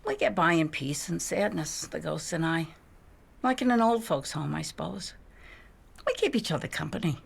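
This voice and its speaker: An actress playing a faded, reclusive older widow, wistful and wry, confiding theatrically in a game monologue with drops into whispers. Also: female voice, sits low, normal speed, heavily acted. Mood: wry, nostalgic. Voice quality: husky, breathy with creaky edges. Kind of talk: dramatic video game monologue.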